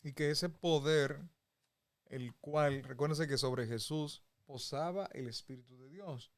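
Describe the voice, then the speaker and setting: A man from the Republic, teaching a Bible lesson in a folksy conversational way. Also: medium pitch. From Dominican Republic. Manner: folksy, with homey examples and chuckles. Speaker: preacher.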